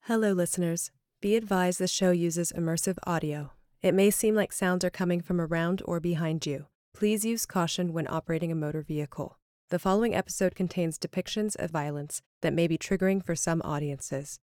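A woman's voice: lowish voice, a little fast, darkish tone, no room sound, studio quality. A woman announcer reading a scripted advisory to listeners.

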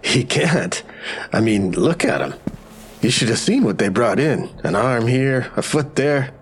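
An actor playing a wry, matter-of-fact medical examiner who explains with morbid humor in an audio drama. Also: male voice, mid-range voice, very animated, a little slow, slightly rough.

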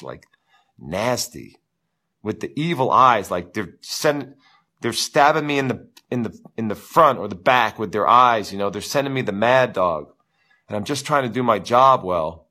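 A man hosting a live viewer Q&A as a tennis coach, with a big booming voice and an animated, ranting delivery full of repeats and "you know". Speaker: tennis coach, show host. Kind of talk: live-stream chat with viewers.

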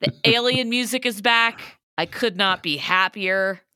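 A woman's voice: mid-range voice, unhurried, bright, forceful tone, clean tone, dry recording. A woman in her thirties co-hosting a podcast, bubbly and blunt in casual comedic recap chat. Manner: bubbly, giggly, blunt and crude. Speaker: podcast co-host.

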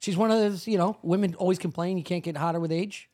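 A man's voice: sits high, fairly even intonation, fast, warm tone. A man in his forties co-hosting a comedy podcast, trading crude, blunt jokes. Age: 40s. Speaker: podcast co-host. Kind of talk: casual podcast banter.

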